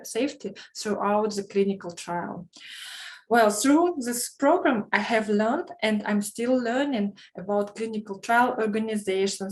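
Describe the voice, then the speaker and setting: A woman's voice: medium pitch, rough recording. A woman in her forties from Kazakhstan, speaking, telling her career story as a trainee in a careful, slightly formal way with small grammar slips. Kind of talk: prepared personal testimonial.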